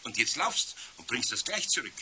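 An actor playing a gruff but kindly older master craftsman with a Bavarian accent in a 1970s children's radio play. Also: medium pitch, sing-song, moderate pace, bright, forceful tone, a little hiss or hum. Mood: soothing. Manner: grumbling, scolding yet fatherly.

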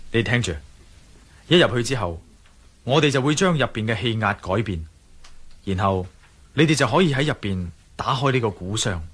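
An actor playing a level-headed adventurer in a Cantonese radio drama, explaining and reassuring. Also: male voice, lowish voice, fairly bright tone, slightly rough. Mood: instructive.

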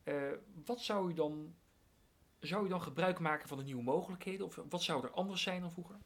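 A man's voice: high-pitched, fairly quick. A man asking interview questions politely, with hesitant, searching phrasing.

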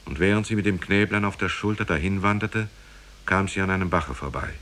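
An actor playing a grave storyteller, reciting an old parable in a solemn, measured, formal way for a 1950s German radio play. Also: male voice, deep voice, a bit bright.

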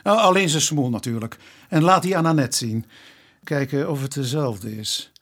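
An actor playing a courteous, methodical police inspector in a radio play, questioning in a measured, businesslike way. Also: male voice, mid-range voice, a bit bright, natural voice. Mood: brisk instructing. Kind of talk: naturalistic radio play dialogue.

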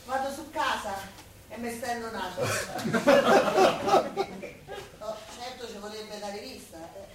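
A woman's voice: on the high side, expressive, slow pace. An actress playing an idle, vain young woman in a popular stage play, complaining breezily in a colloquial Roman accent. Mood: lazy, carefree. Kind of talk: Italian popular stage comedy-drama dialogue. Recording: echoey, noisy stage recording from far off.

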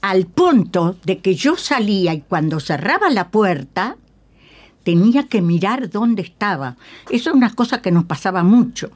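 An older woman with a Uruguayan Spanish accent, reminiscing in a radio interview as an emphatic, halting storyteller who repeats phrases and checks in with tag questions. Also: mid-range voice, big pitch swings, darkish tone. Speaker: guest, veteran radio actress. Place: radio studio.

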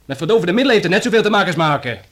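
An actor playing a sarcastic, defiant smuggling suspect in a radio play, with a Netherlands Dutch accent and an indignant manner. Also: male voice, high-pitched, very animated, very fast.

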